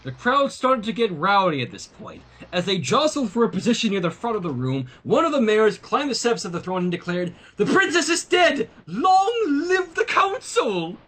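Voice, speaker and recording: A man reading a story aloud in an expressive narrating style, with casual asides. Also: high-pitched, brisk, hardly any pauses. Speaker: fan fiction reader.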